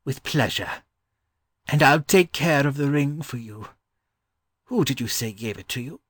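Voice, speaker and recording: Man playing a mild, bemused scholarly gentleman. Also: mid-range voice, lively intonation, a little slow, no room sound, clean studio sound, pitched above the performer's own voice. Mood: kindly. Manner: hesitant, courteous, puzzled.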